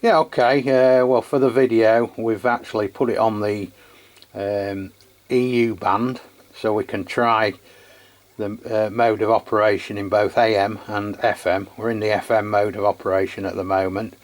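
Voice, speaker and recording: Low-voiced man. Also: level tone, moderate pace. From England. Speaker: radio channel host testing equipment. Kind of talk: casual radio chat, hands-on review.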